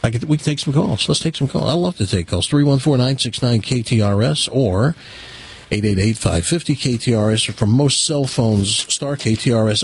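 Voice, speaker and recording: A man's voice: mid-range voice, breathy edge. An American man hosting a radio talk show in a casual, rambling, conversational way, with some joking. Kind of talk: casual live radio talk with ad reads and caller chat.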